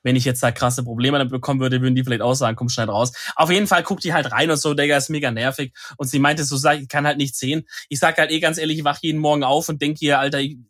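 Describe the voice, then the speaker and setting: A man's voice: medium pitch, steady tone, fast, few pauses, a bit bright, dry recording, clean studio sound. A young man co-hosting a podcast, slightly nasal from a cold, telling rambling stories full of slang and fillers. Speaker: podcast co-host. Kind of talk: casual chat.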